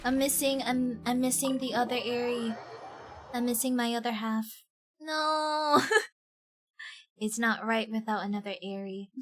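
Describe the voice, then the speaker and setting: Higher-voiced young woman chatting on stream. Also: unhurried, pauses often, a bit bright. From Ireland. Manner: informal, slangy, chatty, self-deprecating. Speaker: game streamer. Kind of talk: casual live stream chat. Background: game music.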